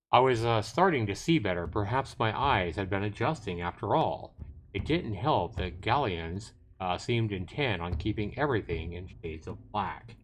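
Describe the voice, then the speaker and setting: A man hosting a livestream, reading a visual novel aloud, with narration mixed with casual remarks. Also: on the low side. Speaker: livestream host. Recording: music behind the voice.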